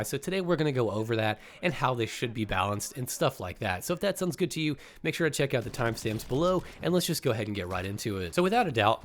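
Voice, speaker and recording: A male gaming YouTuber with a congested, slightly nasal voice, speaking at a mid pitch. He gives his opinions in a casual, upbeat way. His pitch lifts when he stresses a point.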